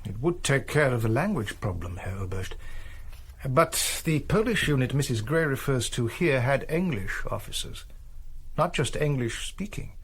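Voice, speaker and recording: A careful German military aide in a radio play. His mid-pitched voice is a little husky, and he talks with precise, deferential caution. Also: very animated, normal speed. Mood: respectful, concerned. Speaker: radio drama actor. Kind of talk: radio drama acting.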